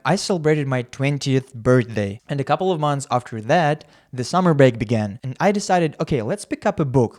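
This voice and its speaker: A man's voice: mid-range voice, darkish tone. A young Russian man whose first language is Russian, speaking English with an American-sounding accent in a chatty, candid vlog. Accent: American-sounding English learned as a second language. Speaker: language-learning YouTuber. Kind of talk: casual talking-head vlog.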